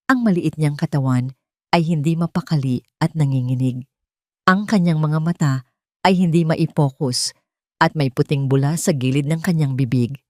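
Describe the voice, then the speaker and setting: A woman's voice: low voice, moderate pace, mellow, dry recording, studio quality. A woman with a Filipino accent reading a scripted first-person story in an emotive, solemn, dramatic way. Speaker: audio drama narrator.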